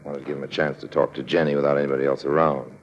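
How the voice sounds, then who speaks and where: An actor playing a suave, sly con artist in a 1950s radio drama, smug and smoothly casual. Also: male voice, very deep voice, little pitch movement, racing, highs cut off. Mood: smug, confiding. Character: suave, sly male con artist narrating his swindle.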